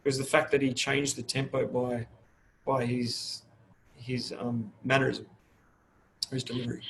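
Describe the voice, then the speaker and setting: A man's voice: medium pitch, little pitch movement, a little slow, creaky, fairly bright tone, slight background noise, poor audio. A middle-aged man, a fire service manager talking openly in an interview, restarting his sentences often. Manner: open, rambling, many restarts. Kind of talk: casual interview talk.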